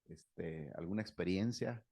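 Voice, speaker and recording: Casting: middle-aged therapist, low gravelly voice, easygoing lecture full of stories. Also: male voice, measured pace, darkish tone. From Mexico. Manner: conversational, anecdotal, laughs often, many fillers. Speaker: psychotherapist giving a talk. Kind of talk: informal lecture to a group.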